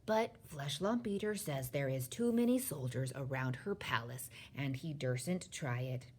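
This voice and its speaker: A woman voicing a gentle, stubborn, gloomy male giant from a children's storybook, with muddled folksy grammar and invented words. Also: rumbling low, animated, a little slow, exaggerated voice. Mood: matter-of-fact. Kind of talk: children's storybook character reading.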